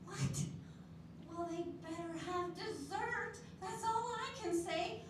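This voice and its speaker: A woman's voice: very high voice, slow pace. An older woman reading the part of a blunt, humorous old woman who loves her food, in a readers theatre play. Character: blunt, hungry older woman friend, fond of sweets. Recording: echoey hall, distant mic, background noise.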